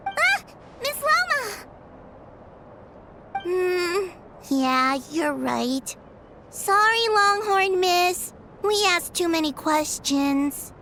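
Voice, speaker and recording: Tiny, piping, sweet child. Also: female voice, very high voice, sing-song, sharp tone, a little hiss or hum, heavily acted. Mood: sheepish. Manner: childlike, drawn-out. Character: sweet, innocent little child, admiring and easily chastened. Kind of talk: video game voice acting. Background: background music.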